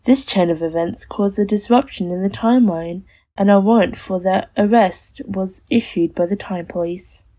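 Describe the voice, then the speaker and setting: A woman as a mellow, sympathetic storyteller. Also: medium pitch, normal speed, close to an everyday voice. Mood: storytelling. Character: sympathetic woman recounting an adventure. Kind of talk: audio drama narration.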